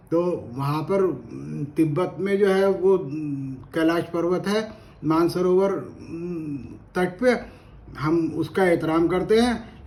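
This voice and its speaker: A man's voice: a little high, a little mellow. A man from India, giving spoken opinion commentary in an earnest, argumentative way and repeating phrases for emphasis. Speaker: commentator on current affairs.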